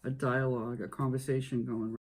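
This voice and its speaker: A middle-aged man giving thoughtful, measured answers in an interview. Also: medium pitch, little pitch movement, slight background noise.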